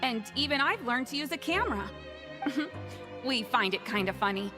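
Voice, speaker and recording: Game townsperson role: a friendly woman prisoner, earnest and chatty, lower-pitched, reverberant sound with music behind. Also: very animated, moderate pace. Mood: welcoming. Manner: conversational, earnest. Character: friendly, contented woman inmate tidying bookshelves. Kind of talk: video game character dialogue.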